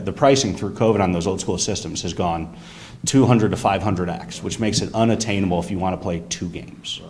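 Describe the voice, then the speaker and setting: A deep-voiced young man speaks up in a council chamber, his voice naturally loud and carrying. He lays out his business plan with eager enthusiasm, moving through details point by point. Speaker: business owner presenting to a council. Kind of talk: public comment at a meeting.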